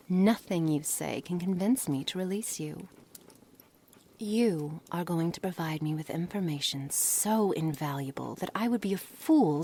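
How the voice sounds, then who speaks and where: A woman playing a coolly menacing, scholarly witch in an ASMR roleplay, speaking softly in formal, measured words with breathy, hushed passages. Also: on the low side, slight background noise. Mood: coldly menacing. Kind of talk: ASMR roleplay dramatic monologue.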